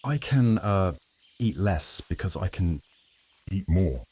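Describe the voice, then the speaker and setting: A man playing a composed, courteous, secretive vampire officer in a fantasy audio drama, measured and formal. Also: low voice, animated, dark tone, breathy edge, exaggerated voice. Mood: confessing.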